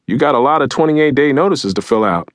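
A man voicing a brisk, businesslike trailer park office manager in plain colloquial audiobook dialogue. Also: mid-range voice, lively intonation, dry recording, studio quality, voice pushed up, close to an everyday voice.

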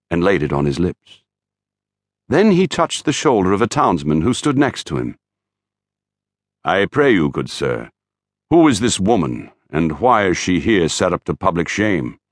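A man narrating a classic novel as an audiobook, in a formal, measured and expressive storytelling manner. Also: deep voice, dry recording, studio quality. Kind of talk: scripted literary narration.

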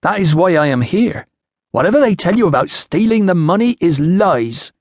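Audiobook role: proud, indignant ancient tribeswoman, played by a male narrator, raised pitch, slow and emphatic, blunt. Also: big pitch swings, no room sound. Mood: scornful, defiant.

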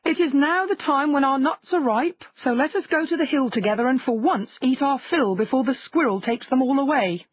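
A woman's voice: high voice, moderate pace, hardly any pauses, no room sound, dull audio, voice pushed up, natural voice. A woman voicing a proud, stubborn male rooster with a haughty manner in a fairy tale. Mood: eager.

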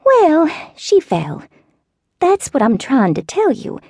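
A woman voicing a fussy elderly neighbor with a Southern accent, who talks in a slow, meandering, wavering falsetto. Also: wildly swooping pitch, darkish tone, no echo, heavily acted. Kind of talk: audiobook character voice.

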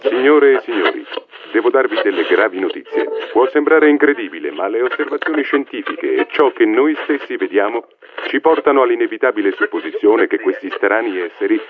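An actor playing a solemn radio news announcer, delivering formal, grave bulletins in old-time style. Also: male voice, mid-range voice, lively intonation, brisk, pauses often, narrow, tinny audio, crowd noise, lightly acted.

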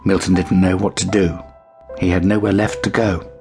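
A man narrating a children's bedtime story in an expressive storytelling style. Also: deep voice, moderate pace, creaky, faint music behind. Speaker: audiobook narrator.

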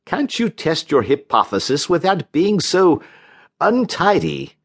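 A man voicing a calm, unctuous division chief doctor in a storybook reading, soothing and patronizing. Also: a little high, breathy edge, voice pushed up, heavily acted. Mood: condescending. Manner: soothing, oily, patronizing, drawn-out questioning hmms. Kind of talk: audiobook character voice in a comic story.

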